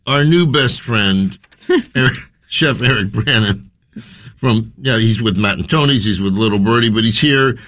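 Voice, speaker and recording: A man's voice: lowish voice, moderate pace, a bit bright. A man co-hosting a radio food show in the studio, chatting in a playful, joking way. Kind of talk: casual radio chat.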